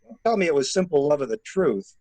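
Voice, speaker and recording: A man playing a wry, skeptical, sardonic gentleman in an amateur murder mystery play. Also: fairly high pitch, sing-song, quick pace, dry recording. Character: wry, skeptical man confiding with a troubled woman. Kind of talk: amateur radio-play acting.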